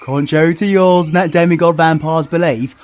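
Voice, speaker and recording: A British woman voicing a cocky, foul-mouthed male villain in a comic audio drama, crude, sarcastic and excitable. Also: low voice, fairly quick, soft background music, lightly acted. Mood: excitable, mocking.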